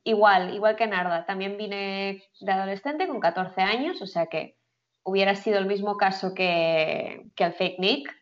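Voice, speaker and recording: A woman's voice: medium pitch, measured pace. Brief: woman co-host, chatty, frequent laughs, dull lo-fi recording. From Philippines. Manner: chatty, laughs often, many fillers. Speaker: podcast co-host. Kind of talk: casual chat.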